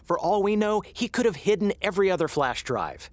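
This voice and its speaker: A man's voice: high-pitched, sing-song, fairly quick, no echo. A sarcastic, grumbling cynic who cuts in constantly. His voice swoops up and down with each jab. The sound is dry and close, with no room around it. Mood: needling. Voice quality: sarcastic, interrupting. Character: snippy, sarcastic grown man, a cynical hater. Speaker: radio show co-worker. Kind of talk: comedic audio drama acting.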